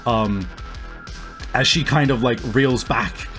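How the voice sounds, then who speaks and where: A man working as a game master, improvising tabletop game narration in a halting, piece-by-piece way. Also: mid-range voice, fairly bright tone. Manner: halting, pieces scenes together as they go. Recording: music playing behind the voice. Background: music.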